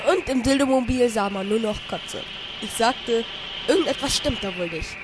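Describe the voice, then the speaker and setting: A man's voice: extremely high pitch, animated, moderate pace, music in the background, rough recording. A young man narrating an amateur parody audio drama in a dramatic storytelling manner.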